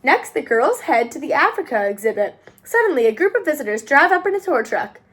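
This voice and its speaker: A woman reads a children's book aloud. Her voice is high and swings widely in pitch, with an expressive, sing-song storytelling delivery suited to young listeners. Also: a little fast. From United States. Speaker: host of a read-aloud channel.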